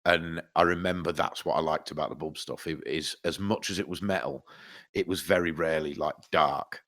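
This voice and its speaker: A man's voice: rumbling low, brisk, a bit bright. A man co-hosting a podcast, chatting casually; he is congested from hay fever, rambles, restarts his sentences and swears freely. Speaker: podcast co-host. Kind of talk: casual chat.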